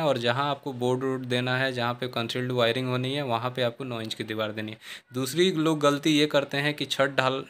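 A man's voice: medium pitch, fairly bright tone, clear, resonant tone. Instructive man touring a building site. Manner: instructive, addresses viewers as friends, frequent restarts. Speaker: civil engineering channel host. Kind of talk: walkthrough explainer on a building site. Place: unfinished brick house under construction.

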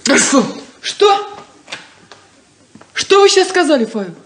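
An actress playing a heartfelt, emotional rancher's daughter in a 1970s radio drama. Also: female voice, high voice, big pitch swings, takes it slowly, a little hiss or hum, heavily acted. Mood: stunned.

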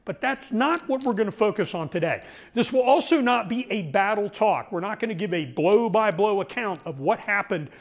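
A middle-aged man speaks with a big, carrying voice, pitched on the high side for a man. He talks energetically to a seated audience indoors, lecturing into a handheld microphone. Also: moderate pace. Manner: loud, energetic, gestures broadly while talking. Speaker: foundation president giving a lecture. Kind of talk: public lecture.

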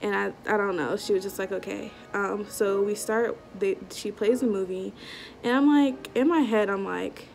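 A young woman telling a story to camera in a casual vlog, animated and slangy, acting out what people said, recorded in a small room on a camera at arm's length. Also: mid-range voice, moderate pace, vocal fry, soft background music, low-quality recording. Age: young adult. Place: small indoor room before a curtain. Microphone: webcam or phone at arm's length.

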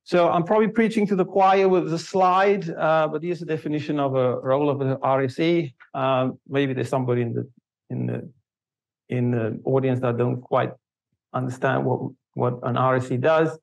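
Middle aged guy doing a conference talk in a lecture room, normal pitch, kinda stop-start delivery. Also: fairly even intonation, moderate pace, vocal fry, rough recording. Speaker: conference speaker, research software engineer. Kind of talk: conference presentation with slides. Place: university lecture room, indoors.

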